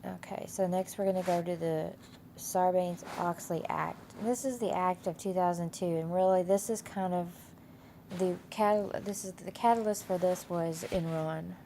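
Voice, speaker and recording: Stuffy-nosed woman teacher with a gravelly voice. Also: lowish voice, steady tone, moderate pace, dark tone, slight background noise. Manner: rambling with many fillers and restarts. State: has a cold, stuffy nose. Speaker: instructor. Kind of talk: casual screen-share course walkthrough.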